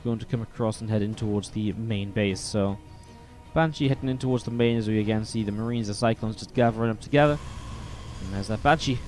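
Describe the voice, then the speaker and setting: A man commenting play by play on a strategy video game match, a little sniffly from a cold, steady and then more excited in the fights. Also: lowish voice, brisk, faint music behind. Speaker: esports caster.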